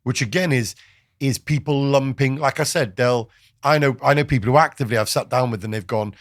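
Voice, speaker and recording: A man's voice: medium pitch, brisk, no echo, studio quality. A man from Wales, hosting a casual, opinionated discussion and pressing his points with emphasis. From South Wales. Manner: emphatic, argumentative, speeds up when pressing a point. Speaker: podcast host.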